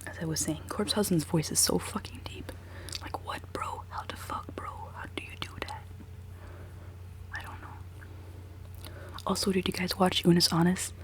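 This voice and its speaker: A woman's voice: steady tone, normal speed, pauses often. A woman in her twenties whispering a rambling, giggly ASMR chat while she does her makeup. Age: 20s. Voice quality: decently low voice. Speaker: ASMR creator.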